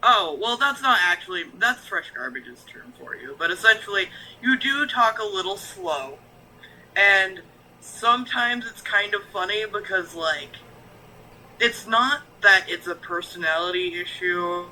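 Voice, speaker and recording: A man's voice: high voice, unhurried, pushed and bright, low-quality recording. A man who reacts to shows on YouTube, talking frankly as a guest on a stream, rambling through his thoughts. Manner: rambling, frank, lots of fillers and restarts. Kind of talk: casual stream chat.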